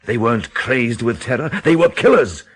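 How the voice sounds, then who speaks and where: An actor in a radio drama playing a grave, intense man who speaks in an emphatic, deliberate, theatrical way. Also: mid-range voice, big pitch swings, slightly rough. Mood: insistent. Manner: emphatic, dramatic, deliberate phrasing with rhetorical questions. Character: grave, intense countryside man expounding a dreadful theory.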